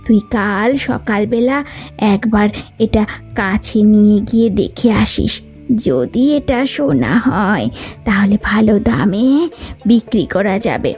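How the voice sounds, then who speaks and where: A woman's voice: medium pitch, animated, unhurried, a little mellow, faint music behind, natural voice. A woman voicing a poor, homely and hopeful village mother in a cartoon. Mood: confiding, hopeful. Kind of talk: Bengali cartoon voice acting.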